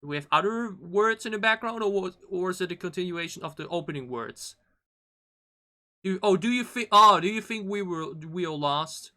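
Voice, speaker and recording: A man giving casual reaction commentary as a video host, excitable and rambling, often repeating his phrases. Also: high-pitched, moderate pace. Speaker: host of a K-pop reaction channel. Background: pop music from the video being watched.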